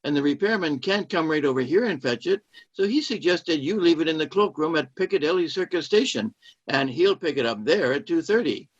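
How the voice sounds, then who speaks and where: An actor in a radio play playing a controlling, secretive saboteur who poses as a kindly cinema owner and gives insistent orders. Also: male voice, on the high side, animated, fairly quick, husky. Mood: insistent, urging. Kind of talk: British-set radio play acting. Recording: dull lo-fi streamed audio.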